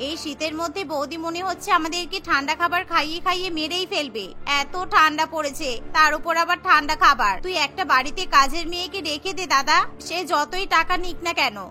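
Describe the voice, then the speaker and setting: A woman's voice: sits high, fairly quick, few pauses, bright, forceful tone. A woman voicing a worried, exasperated young sister-in-law in a cartoon, with a pleading manner. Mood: complaining. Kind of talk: cartoon voice acting.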